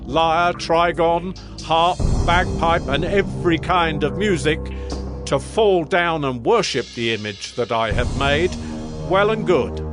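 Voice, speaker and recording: An actor playing a proud, commanding king of Babylon in a dramatized audio Bible, declaiming in an imperious, measured way. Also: on the high side. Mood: imperious, threatening. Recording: music behind the voice.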